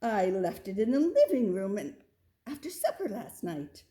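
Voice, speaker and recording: Woman as a concerned, mellow mother goat. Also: medium pitch, huge pitch swings, moderate pace. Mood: puzzled. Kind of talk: children's storybook read-aloud.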